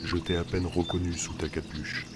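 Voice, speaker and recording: A man playing a calm, loyal druid guardian in a fantasy audio drama, speaking in a measured, courteous way. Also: low-pitched, heavy background noise, lightly acted. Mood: friendly. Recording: loud music behind the voice.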